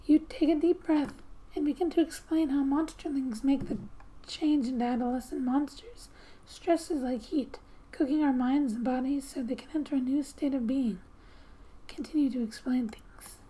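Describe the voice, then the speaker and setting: Soft high woman doing gaming asmr, mellow n whispery, gentle story reading, music behind. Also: fairly even intonation, normal speed, rough recording. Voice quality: soft, often dropping into a breathy whisper. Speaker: gaming ASMR video host. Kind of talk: soft-spoken ASMR game narration.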